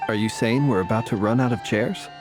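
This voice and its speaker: An actor playing an observant, playful young man in a video game, easygoing and smooth in manner. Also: on the low side, a little fast, music in the background, lightly acted. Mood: lightly teasing. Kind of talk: game voice acting.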